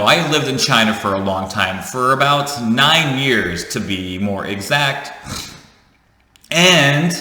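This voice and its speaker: A man's voice: medium pitch, sharp tone. A man in his thirties telling a personal story to his viewers in a chatty, jokey way, correcting himself as he goes. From United States. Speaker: vlogger. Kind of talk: casual storytelling to camera. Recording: echoey room with long reverb.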